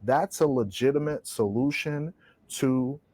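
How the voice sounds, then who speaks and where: A man argues with fervent conviction, like a preacher making a point. His voice is mid-pitched and the recording is dry and close. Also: a little slow, darkish tone, no echo. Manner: emphatic, rhetorical, quotes scripture. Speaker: podcast co-host. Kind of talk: impassioned discussion, preacher-like.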